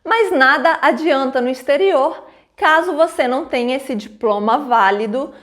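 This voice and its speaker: A woman's voice: sits high, moderate pace, fairly bright tone. A woman giving frank advice in a vlog, emphatic and answering her own rhetorical questions. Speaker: vlogger giving advice on life abroad.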